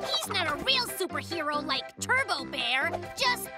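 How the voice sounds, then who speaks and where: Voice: very high-pitched, very animated, measured pace, heavily acted. An actor voicing a boisterous, boastful little cartoon bear cub who plays superhero with bombastic mock-heroic declaiming. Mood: competitive swagger. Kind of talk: children's cartoon voice acting. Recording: children's cartoon music behind the voice.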